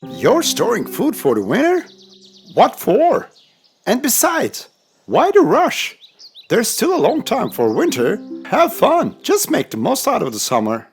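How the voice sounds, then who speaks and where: A woman's voice: on the high side, huge pitch swings, a little slow, faint music behind. A woman voicing a lazy, vain, carefree male grasshopper in a children's cartoon, with a breezy, dismissive, singsong manner.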